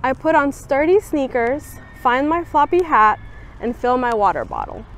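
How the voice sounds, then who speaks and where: A young woman volunteer reading a children's picture book aloud outdoors, giving the quoted lines a lively, expressive lift. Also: sits high, normal speed, a little hiss or hum. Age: young adult. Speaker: student volunteer reader. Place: outdoors on a campus bench.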